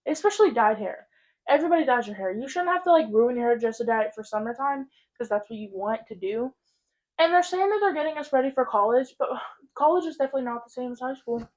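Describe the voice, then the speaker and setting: A woman's voice: sits high, animated, quick pace, a little mellow, rough recording. A sixteen-year-old young woman chatting casually and candidly as a podcast co-host, peppering her informal talk with "like". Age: 16, teenager. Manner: candid, informal, heavy use of "like".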